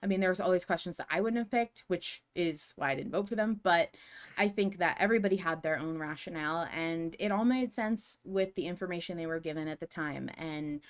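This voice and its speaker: A woman with a lowish voice co-hosts a podcast. She talks casually, as in a fan discussion, working through her thoughts aloud and stressing her points. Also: a little fast. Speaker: podcast co-host.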